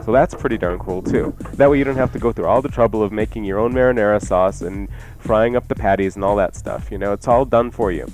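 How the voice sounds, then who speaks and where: A man with a slightly low voice chats about cooking on the radio. He talks quickly and colloquially, like a casual host. Music plays behind him. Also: a little mellow. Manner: chatty, colloquial.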